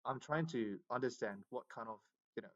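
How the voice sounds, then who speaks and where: A man's voice: medium pitch, normal speed, highs cut off. A man from Myanmar, chatting casually in English with many fillers. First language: Burmese. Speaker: English learner. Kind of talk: casual video chat.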